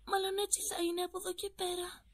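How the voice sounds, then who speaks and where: Cartoon dub role: spirited orphan girl, played by a woman, very high voice, expressive, cheerful and plucky. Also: slight background noise. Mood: subdued. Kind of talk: amateur animated film dub acting.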